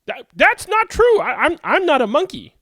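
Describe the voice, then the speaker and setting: Male actor as flustered, overdramatic reader in a comic kids' storybook, high and incredulous, swooping intonation. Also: huge pitch swings, normal speed, sharp tone, no echo, clean studio sound, exaggerated voice. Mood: incredulous pleading. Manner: whiny, incredulous, pleading, overdramatic. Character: exasperated grown-up reader forced to say silly things. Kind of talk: comic children's storybook acting.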